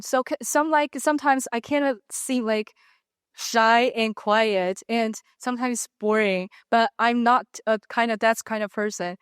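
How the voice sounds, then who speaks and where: Teen girl, Chinese accent, earnest student answers, slightly high smooth voice. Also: no echo, clean studio sound. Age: teens. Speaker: international student.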